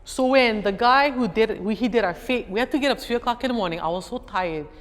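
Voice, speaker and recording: A middle-aged woman with a Hawaiian accent, speaking as an educator and guest speaker. She tells a story to an audience in an animated way and acts out other people's voices. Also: a little high, quick pace. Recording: echoey hall, faint background noise.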